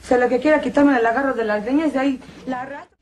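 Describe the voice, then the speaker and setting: Scrappy naive young wife played by a woman, slangy Mexican speech, high voice, 1980s telenovela. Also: rapid-fire, soft background music, close to an everyday voice. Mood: eager, feisty. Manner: slangy, impulsive, exclamatory.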